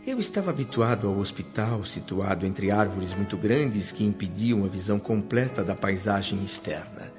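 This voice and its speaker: A man's voice: lowish voice, natural voice. An actor playing a curious, awestruck spirit newcomer with a Brazilian accent, narrating his discoveries in earnest, reverent, literary phrasing. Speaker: narrator and lead actor of a radio drama. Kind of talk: dramatised first-person radio narration. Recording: dull lo-fi audio under clear music. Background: music.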